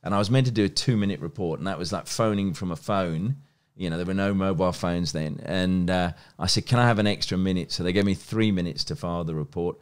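A man's voice: low-pitched, quick pace, smooth. A man in his fifties from England's West Country with an educated English accent, telling stories conversationally as an interview guest. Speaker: guest, sports journalist and broadcaster. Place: small plain indoor room. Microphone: close microphone on a stand.

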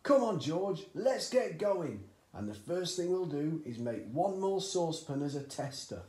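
A man's voice: sits high, big pitch swings, mellow, voice pushed up, exaggerated voice. A man voicing an overexcited middle-aged father who bellows and gushes over his schemes. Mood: excited. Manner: bellowing, gushing, drawn-out exclamations. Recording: roomy echoey home recording.

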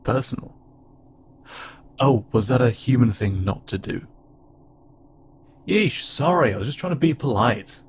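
A man voicing a friendly, curious, slightly awkward alien spacefarer in a conversational audio roleplay, speaking haltingly and wryly. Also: mid-range voice, lively intonation, background noise. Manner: casual, halting, gently wry. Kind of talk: conversational audio roleplay acting to an unheard listener.

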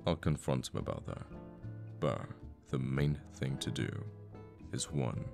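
A very deep, gravelly voice belongs to a conflicted assassin boyfriend played by a man in an intimate audio roleplay. He talks slowly and haltingly, protective and tender toward his lover. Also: over music, exaggerated voice. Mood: weary, resolute.

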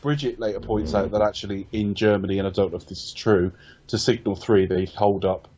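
Husky man, casual film podcast host. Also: fairly low pitch, steady tone, brisk, dark tone. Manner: rambling, self-correcting, wry. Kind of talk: casual film review chat. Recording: dull lo-fi podcast audio.

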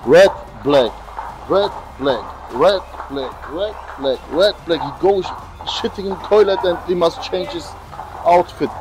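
A man's voice: a little high, expressive, takes it slowly, rough recording. A man in his thirties teasing and mocking a friend on camera in non-native English. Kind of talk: casual joking to camera.

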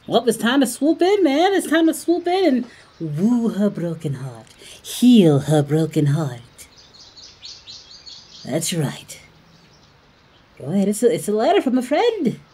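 A woman's voice: medium pitch, big pitch swings, measured pace, long pauses, dark tone, slightly rough. A woman hosting a reaction video in casual commentary, exclaiming and often repeating her phrases. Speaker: host of a reaction channel.

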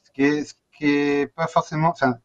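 A middle-aged man talks quickly and informally as part of a panel discussion. His voice comes through a slightly dull, lo-fi video call. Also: a little high, flat delivery. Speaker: panel guest.

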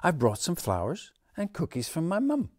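A man puts on a small girl from a children's storybook. She is polite, earnest and plucky, pitched clearly higher than his own talk. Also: wildly swooping pitch, moderate pace, a little mellow, no room sound, clean studio sound.

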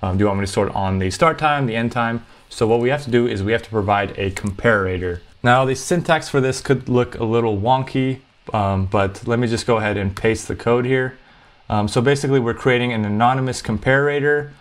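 Male tutorial host, medium pitch, conversational step-by-step explainer for programming lessons. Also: a little fast.

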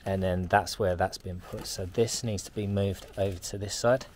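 A man's voice: deep voice, steady tone, moderate pace, a little mellow, slight background noise. A young man, casually explaining work on his self-build on an outdoor building site, recorded on a handheld action camera. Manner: practical, explaining on the go.

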